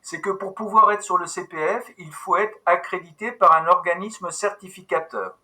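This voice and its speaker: Bright-voiced man leading an online meeting. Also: sits high. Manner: brisk, hesitant restarts, businesslike. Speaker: meeting host, company manager. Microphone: video call.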